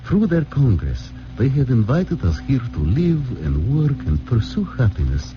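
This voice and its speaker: A man's voice: mid-range voice, wildly swooping pitch, measured pace, over music. A man playing a tender, reassuring refugee father, speaking formally in a 1940s radio drama. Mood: solemn, hopeful. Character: gentle, reassuring refugee father, former newspaper editor. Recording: muffled, faintly noisy old radio recording.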